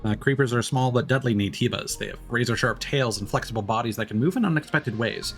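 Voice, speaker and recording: Mid-pitched male game streamer over faint music. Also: fast, fairly bright tone. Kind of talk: live let's play, reading game text aloud and commenting.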